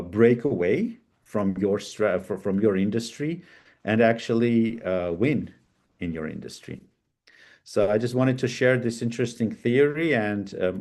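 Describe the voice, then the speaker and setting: A man presenting in an online business session, explaining strategy through everyday examples in a relaxed, hesitant way. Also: lowish voice.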